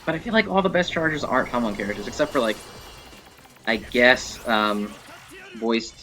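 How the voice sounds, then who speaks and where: A man's voice: mid-range voice, moderate pace, bright, forceful tone. A man giving casual, opinionated gameplay commentary, thinking aloud in a conversational way. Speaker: gaming video host.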